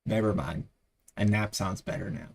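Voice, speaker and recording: A man's voice: deep voice, normal speed, vocal fry, a little mellow. A tired man losing his voice, rambling with many fillers as he chats casually on a live trading stream.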